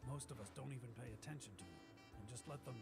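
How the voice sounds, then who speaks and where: Man acting a gravelly, jovial older police commander. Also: medium pitch, fast talker, over music, lightly acted. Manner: chuckling, avuncular. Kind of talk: visual novel voice acting.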